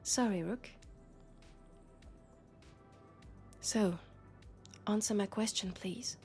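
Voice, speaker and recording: A woman acts out a female police colleague in a sci-fi audio roleplay, with a French accent and an unhurried, hesitant delivery. She is worried and exasperated with her roommate, talking softly and intimately over faint music. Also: medium pitch, close to an everyday voice. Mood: concerned, gentle.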